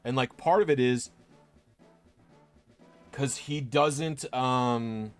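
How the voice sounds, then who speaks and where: A man's voice: mid-range voice, drawn-out words, faint music behind. A young man chatting casually on a stream, rambling with many restarts and fillers. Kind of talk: casual chat.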